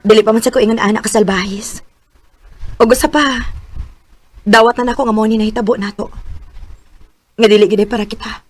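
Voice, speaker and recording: An actress playing a pregnant young wife in a radio drama, bitter and tearful. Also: female voice, fairly high pitch, fairly even intonation, brisk, long pauses, natural voice. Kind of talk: Bisaya radio drama acting.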